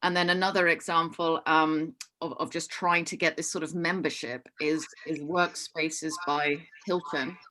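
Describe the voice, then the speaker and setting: A woman's voice: low-pitched, fairly even intonation, a little slow, hardly any pauses, pushed and bright, poor audio. A woman with a Hong Kong accent giving an eager, businesslike conference talk over a video call, often restarting her sentences. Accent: Hong Kong English. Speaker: forum panelist.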